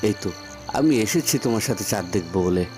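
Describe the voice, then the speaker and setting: A man's voice: on the low side, lively intonation, fast, natural voice. A man voicing a courteous young cartoon prince who speaks in a polite, coaxing way. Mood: coaxing, apologetic. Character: courteous, gently wooing young prince Pratap. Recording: music and crickets behind.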